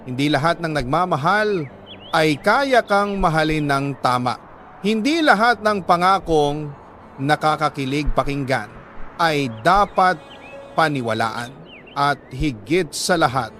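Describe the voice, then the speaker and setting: A man with a Filipino accent reads a letter as a storytelling host, earnest and sentimental, pausing deliberately between phrases. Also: high voice, a bit bright, slight background noise. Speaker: radio storytelling host reading a listener's letter. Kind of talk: dramatic first-person letter narration.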